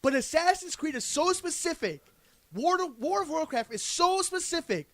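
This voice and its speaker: Podcast co-host, male, higher voice, emphatic casual debater, dry studio sound. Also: expressive, moderate pace, fairly bright tone, no room sound. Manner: emphatic, argumentative, restarts often. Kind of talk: casual chat.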